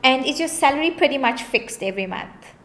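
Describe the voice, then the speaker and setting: Upbeat woman with a high voice. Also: lively intonation, brisk, a bit bright, slight background noise. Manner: upbeat, encouraging, reassuring. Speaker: bond originator. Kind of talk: client consultation call.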